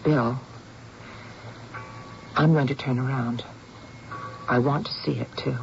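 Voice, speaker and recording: A woman's voice: a bass voice, steady tone, slow, vocal fry, soft background music, heavily acted. An actress playing a sensible, concerned woman in a radio drama, questioning carefully with some hesitation. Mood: cautious. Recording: dull, noisy old radio drama recording.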